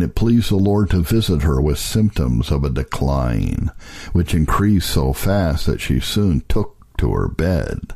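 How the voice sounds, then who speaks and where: A man with a husky voice gives a solemn, measured, earnest reading of an old religious text, in the manner of an audiobook narrator. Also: rumbling low, warm tone.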